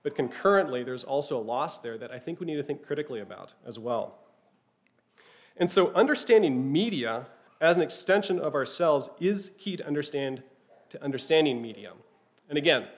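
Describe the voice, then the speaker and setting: A man teaching a class, speaking thoughtfully, often restarting phrases and checking in with "right?". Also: mid-range voice. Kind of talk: classroom lecture.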